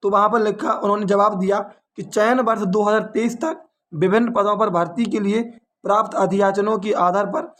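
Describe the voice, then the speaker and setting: A man's voice: high voice, a bit bright. A man with a North Indian accent from Uttar Pradesh, giving students an informal explanatory update as a coaching teacher, repeating points and asking rhetorical questions. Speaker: exam coaching teacher and channel host.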